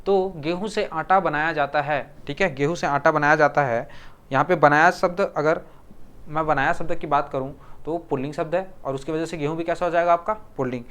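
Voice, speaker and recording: Clear-voiced Hindi teacher from Chhattisgarh. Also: male voice, on the high side, steady tone, brisk, fairly bright tone. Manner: repeats words and asks the class to confirm. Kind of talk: exam-prep grammar lesson.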